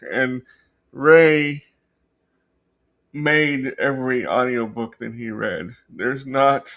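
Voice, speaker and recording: Male vlog host, mid-pitched, unhurried delivery, rambling and unscripted, frequently stops to reword. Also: a bit bright. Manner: halting, searching for words, repeating and rephrasing himself. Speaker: book review channel host. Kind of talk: casual unscripted storytelling to viewers.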